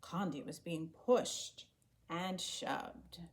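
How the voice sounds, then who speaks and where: A woman reading a children's story aloud in an expressive, animated way, acting out the dialogue. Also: lowish voice, very slow. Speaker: storybook reader.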